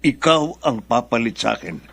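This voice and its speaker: A man acting in a Filipino radio drama as a smooth-talking, insistent older businessman father. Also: medium pitch, expressive, moderate pace. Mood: stern, insistent.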